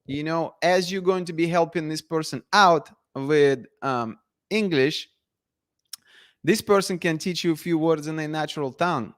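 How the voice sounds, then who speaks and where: A man hosting a casual live chat, reading viewer comments and talking with many restarts and fillers. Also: fairly high pitch. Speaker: livestream host.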